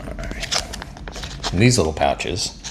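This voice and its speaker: Southern man for a casual food-review vlog, easygoing and self-deprecating, slightly low voice. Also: expressive, slow, noisy background. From Southern United States. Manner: rambling, chatty, self-deprecating, frequent um and uh. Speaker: MRE taste-test vlogger. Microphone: mic set up at a table.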